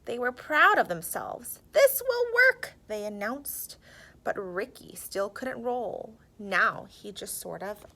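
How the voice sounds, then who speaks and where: A woman narrating a children's storybook in an expressive, theatrical and emphatic way. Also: medium pitch, takes it slowly, vocal fry, rough recording.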